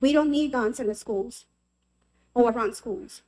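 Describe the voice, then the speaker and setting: Woman from el salvador, testifying, heartfelt and earnest, kinda high voice. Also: unhurried, darkish tone. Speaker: member of the public testifying. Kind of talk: personal testimony at a legislative hearing.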